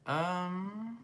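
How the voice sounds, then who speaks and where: A man's voice: sits high, a bit bright, slight background noise. Casual man with a slight Brazilian accent. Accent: slight Brazilian Portuguese. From northeastern Brazil. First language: Portuguese. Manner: rambling, filler-heavy, loose and conversational. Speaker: livestream host. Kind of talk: casual livestream chat, reading viewer comments.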